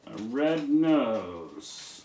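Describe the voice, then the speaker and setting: A man playing an excitable, evasive puppet dragon in a children's storytime skit, theatrical and full of feigned innocence. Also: mid-range voice, very slow, far from the mic, full character voice. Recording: echoey room, off the mic.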